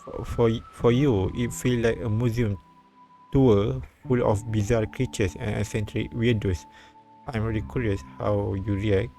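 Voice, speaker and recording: A man live-streaming a visual novel, reading it aloud haltingly and often repeating words. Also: fairly low pitch, fairly even intonation, creaky. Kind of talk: read-aloud narration with casual asides. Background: soft game music.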